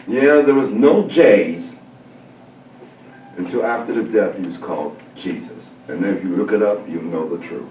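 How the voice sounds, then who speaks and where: A male talk show host with a fairly low voice who speaks emphatically and preachily, lurching from low asides to sudden high exclamations. He sounds roomy and a little distant from the mic, with faint background noise. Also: moderate pace, a little mellow. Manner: emphatic, preachy, swinging pitch. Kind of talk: casual interview.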